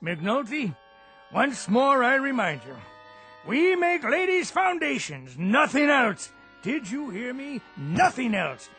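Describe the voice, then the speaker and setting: A pompous company boss from old-time radio. His very high voice is sharp and animated. He speaks formally and sternly, with clear irritation. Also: takes it slowly, faint music behind, full character voice.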